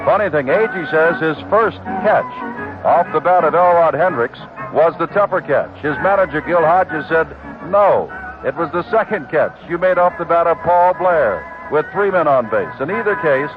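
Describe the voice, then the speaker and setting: A man calling a baseball game on the radio, briskly reading out the lineups. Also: on the high side, expressive, music in the background. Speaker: radio play-by-play announcer. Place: stadium broadcast booth. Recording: tinny 1969 AM radio broadcast.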